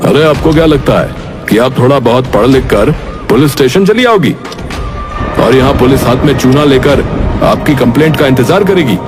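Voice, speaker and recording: A man's voice: medium pitch, lively intonation, moderate pace, close to an everyday voice. A man playing a dismissive, sarcastic police inspector in a cartoon, with a brusque, scornful delivery. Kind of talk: Hindi moral cartoon voice acting. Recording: music behind the voice.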